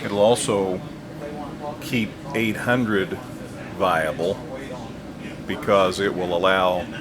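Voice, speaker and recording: A man's voice: low-pitched, steady tone, takes it slowly, crowd noise. A man working as a radio interviewer, putting points and questions in a conversational way.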